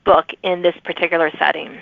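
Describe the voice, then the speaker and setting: A woman's voice: deep voice, level tone, brisk, gravelly, dry recording. Female interviewer, chatty and informal, agreeable podcast host style, dry but thin phone-line audio. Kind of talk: casual author interview.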